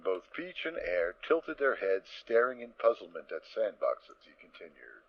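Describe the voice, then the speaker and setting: A man's voice: lowish voice, wildly swooping pitch, normal speed, dull audio. A man reading scripted story narration for an amateur audio drama.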